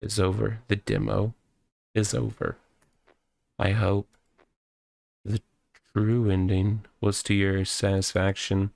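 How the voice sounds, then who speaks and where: A man playing a visual novel on a gaming video, reading the dialogue aloud in a halting, dramatic way. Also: fairly low pitch, level tone, gravelly, darkish tone, dry recording. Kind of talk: let's-play, reading game dialogue aloud.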